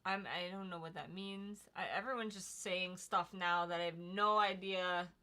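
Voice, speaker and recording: A young woman co-hosting a livestream in a relaxed, chatty way, often reading the viewer comments aloud. Her voice sits a little low but sounds bright and clear. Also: moderate pace. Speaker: livestream co-host reading chat. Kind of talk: casual livestream chat.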